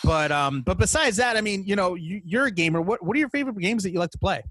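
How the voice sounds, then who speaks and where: A man hosting a podcast in a casual, playful chat about video games, animated and stumbling over his words. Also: sits high, quick pace, no room sound. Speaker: podcast host interviewing a guest.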